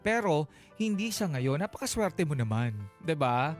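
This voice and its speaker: High-pitched Filipino man giving radio advice. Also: big pitch swings, normal speed. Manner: emphatic, playful, Taglish code-switching, rhetorical tag questions. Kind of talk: casual radio advice talk. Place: radio studio. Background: background music.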